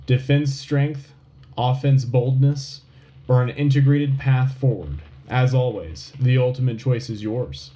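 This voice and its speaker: A man's voice: mid-range voice, a little slow, mellow, natural voice, rough recording. A man playing an earnest wartime radio news anchor, solemn and rallying in a scripted broadcast delivery. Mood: solemn, rousing. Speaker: radio show host. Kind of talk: scripted propaganda-style war news broadcast.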